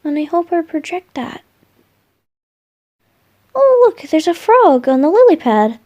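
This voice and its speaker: Girl doing a soft lovestruck boy spirit for romantic asmr roleplay, talks slow n tender, kinda warm dark tone, breathy murmurs. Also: extremely high pitch, sing-song, highs cut off, full character voice. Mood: playful, animated.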